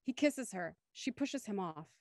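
A woman's voice: medium pitch, animated, measured pace, darkish tone, no echo. A young woman reading scripted narration for an audio drama.

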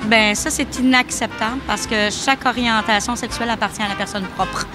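A woman's voice: fairly high pitch, steady tone, brisk, music in the background. A middle-aged woman with a Québécois accent, answering a street interviewer earnestly and with indignation. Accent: Québécois French. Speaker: passerby. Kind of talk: vox pop answers. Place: indoor shopping mall. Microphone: handheld mic held by interviewer.

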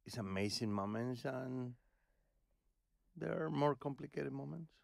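Podcast host, man, 50s. Gravelly, animated, teasing. Dry studio sound. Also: mid-range voice, a little slow, dry recording. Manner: teasing, playful questioner. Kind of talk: casual family conversation. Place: cozy living-room studio on a sofa. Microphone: boom-arm studio mic.

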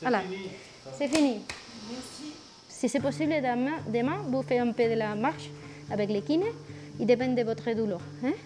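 A woman surgeon with a Spanish accent, a native Spanish speaker, speaking halting French in short, brisk phrases in an operating room. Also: on the high side. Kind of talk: instructions during surgery.

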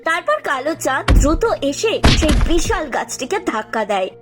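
A woman's voice: high-pitched, sing-song, fairly quick. A woman reading scripted narration for a cartoon story. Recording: music under the voice.

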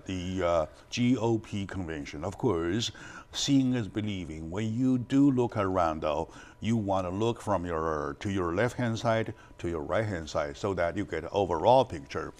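An older man hosts a studio talk show, animated and addressing the audience with many fillers. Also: low-pitched, unhurried. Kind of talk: studio interview. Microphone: lapel mic.

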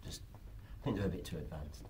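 A man's voice: low voice, quick pace, background noise. A man in a casual interview, joking and self-deprecating about his own past work, in a hotel conference room. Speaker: band member.

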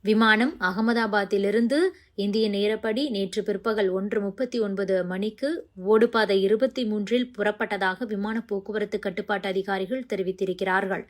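A woman's voice: mid-range voice, steady tone, moderate pace. A woman reading scripted news narration as a news narrator.

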